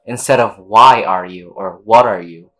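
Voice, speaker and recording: A woman's voice: very deep voice, moderate pace, fairly bright tone, faint music behind. A woman reacting casually to a video game, slangy and sweary with an exasperated manner. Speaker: game streamer. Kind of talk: casual reaction commentary over gameplay.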